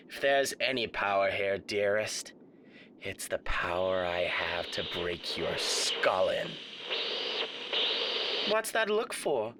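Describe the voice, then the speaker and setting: A man's voice: medium pitch, fairly bright tone, slight background noise, exaggerated voice. A man voicing a suave, menacing radio demon in a theatrical roleplay monologue, mock-polite, pompous and taunting. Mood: scornful menace.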